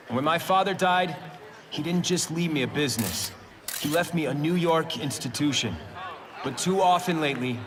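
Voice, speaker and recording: An actor playing a young corporate heir in a video game, making a formal press announcement. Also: male voice, fairly high pitch, in a large hall, close to an everyday voice. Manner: polished and formal. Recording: game audio over music.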